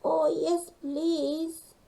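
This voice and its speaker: Casting: woman to voice worried young male penguin, storybook, high, slow-paced, fretful. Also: flat delivery, gravelly, mellow, exaggerated voice. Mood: pleading. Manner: nervous, exclaiming. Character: timid, worried young male penguin, anxious little captain.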